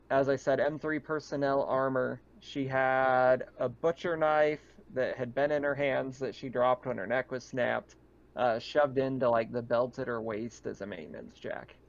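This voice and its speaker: A man's voice: mid-range voice, vocal fry. A man running a tabletop game, narrating casually as game master. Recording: muffled, dull microphone.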